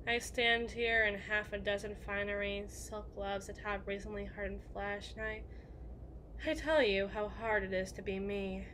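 A woman's voice: medium pitch, fairly even intonation, normal speed, a bit bright, close to an everyday voice. Woman playing a brooding evil queen, noisy ambience. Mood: bitter, self-loathing. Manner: formal, literary, introspective phrasing. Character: melancholy evil queen, guilt-ridden, brooding, confiding in beloved shepherd. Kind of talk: ASMR roleplay monologue.

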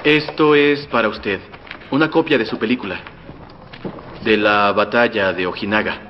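A man's voice: on the low side, moderate pace, lightly acted. A man playing a polite, eager young foreign filmmaker. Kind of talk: film dubbing. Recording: dull, muffled film soundtrack.

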